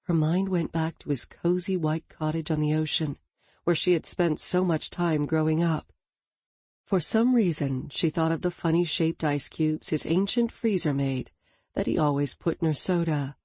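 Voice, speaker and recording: A woman reading a novel as an audiobook narrator in a calm, even storytelling manner. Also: low-pitched, measured pace, dry recording, clean studio sound. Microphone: studio microphone.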